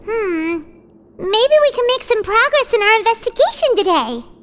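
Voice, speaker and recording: A woman voicing a small, childlike fairy companion in a video game, squeaky, inquisitive, chatty and a little timid. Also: expressive, moderate pace, heavy background noise. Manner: inquisitive, refers to self in third person. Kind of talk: video game character acting. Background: music.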